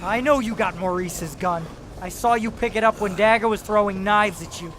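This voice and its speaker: High-pitched jittery young henchman played by a man. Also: moderate pace, natural voice. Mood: threatening, rattled. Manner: excitable, nervous. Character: jittery young male gangster henchman with a gun. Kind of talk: superhero audio drama acting. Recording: loud ambient noise under the voice.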